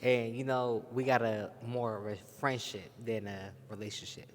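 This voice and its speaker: A man's voice: medium pitch, steady tone, normal speed, a little hiss or hum. A man in his mid-twenties, a dating show contestant, candid and easygoing. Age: 20s. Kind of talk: casual chat. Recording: echoey hall.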